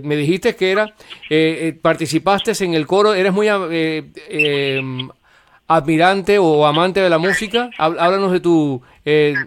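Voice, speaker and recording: A man working as an interviewer, asking informal questions in a halting, encouraging way as he searches for words. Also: on the high side, noisy background.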